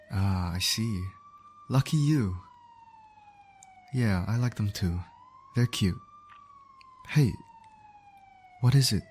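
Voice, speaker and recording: A man playing a gentle, reassuring ambulance medic in an ASMR comfort roleplay, speaking in a soothing, coaxing, attentive way. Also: fairly low pitch, slight background noise. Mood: calm, reassuring. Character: gentle, reassuring male ambulance medic tending an injured patient.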